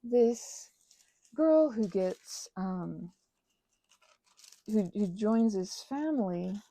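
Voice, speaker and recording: Young woman rambling off on tangents while crafting, lots of fry, soft mellow tone, kinda gushy and self-deprecating. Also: mid-range voice, animated, extremely slow, no room sound. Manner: scattered, tangent-prone, self-interrupting. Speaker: craft and ASMR channel host.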